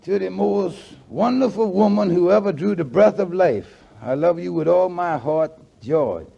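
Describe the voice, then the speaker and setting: Sweet earnest buddy on 40s radio comedy, high mellow folksy voice, muffled sound. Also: male voice, lively intonation, a little slow, creaky, slightly rough, full character voice. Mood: earnest, helpful. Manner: gentle reassuring folksy talk. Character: kindly, earnest, helpful male family friend. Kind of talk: 1940s radio comedy acting. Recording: muffled 1940s radio recording.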